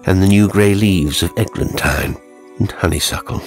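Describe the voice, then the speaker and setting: A man narrating a scripted horror story in a measured, theatrical way. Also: deep voice, faint music behind.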